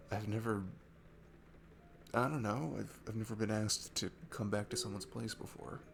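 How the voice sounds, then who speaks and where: Casting: male actor for a sweet, bashful young man, lowish and gravelly, hesitant and stammering, intimate audio roleplay with music underneath. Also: fairly even intonation, a little slow, pauses often, background noise. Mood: flustered, sweet. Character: shy, sweet, nervous young man at a bar. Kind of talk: ASMR roleplay audio, intimate one-sided conversation. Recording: music behind the voice.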